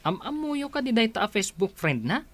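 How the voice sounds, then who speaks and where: A woman's voice: on the high side, very animated, fairly quick, no echo. A woman playing an inquisitive, concerned acquaintance in an amateur audio drama, with an Ilocano accent.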